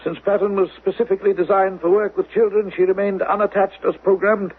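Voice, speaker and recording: High, raspy, officious state controller. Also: male voice, flat delivery, brisk. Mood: clinical, reporting. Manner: formal, imperious, dry bureaucratic phrasing. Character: cold, officious male controller of a futuristic security state. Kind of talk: 1970s radio drama acting. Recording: thin, phone-like 1970s radio sound.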